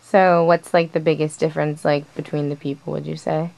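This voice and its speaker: A woman's voice: deep voice, level tone, a little fast, gravelly, a little mellow. A young woman conducting an informal interview, asking questions in a hesitant, casual way full of fillers. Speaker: student interviewer.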